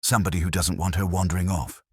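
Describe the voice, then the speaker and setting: A man as a cocky, deep-voiced private eye. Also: little pitch movement, fast, breathy edge, dry recording, clean studio sound. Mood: wry. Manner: flippant, sardonic. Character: wisecracking male private eye's assistant, cocky and breezy.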